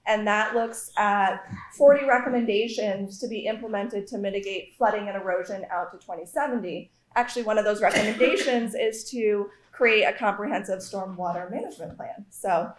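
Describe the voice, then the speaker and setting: A woman who is town staff, speaking briskly and in a friendly way at a forum. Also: mid-range voice. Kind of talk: forum presentation. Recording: roomy echo.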